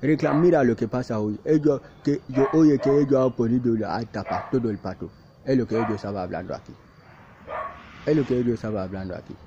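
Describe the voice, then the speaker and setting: Halting man pleading over muffled noise. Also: medium pitch. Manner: halting, repetitive second-language Spanish; defensive, insistent. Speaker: migrant speaking to camera. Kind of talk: self-recorded plea to viewers. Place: outdoors in a field. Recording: muffled with clear background noise and barking dog.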